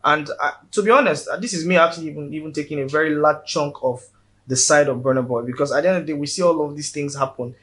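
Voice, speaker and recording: A man's voice: fairly high pitch, fast, a bit bright. A man with a Nigerian accent, a guest in a casual interview, speaking earnestly and often repeating words as he builds his point.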